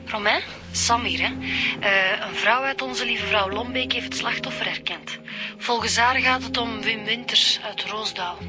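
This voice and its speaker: A woman's voice: a little high, measured pace, close to an everyday voice. Flemish policewoman played by a woman, reporting by phone in a radio drama, music underneath. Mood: businesslike. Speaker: actor. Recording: music behind the voice.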